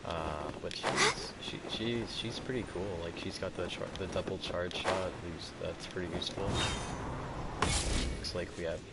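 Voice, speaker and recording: A man's voice: low voice, a little slow, very noisy. A man giving casual gameplay commentary in a rambling, slangy way. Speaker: gamer playing and commenting.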